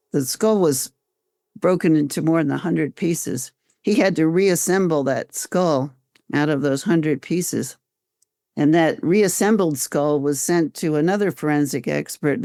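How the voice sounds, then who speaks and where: An older woman with an American accent, telling her own story conversationally as an interview guest, reflective and halting, repeating phrases as she goes. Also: low voice, warm tone, dry recording, studio quality. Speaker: interview guest, author and volunteer researcher.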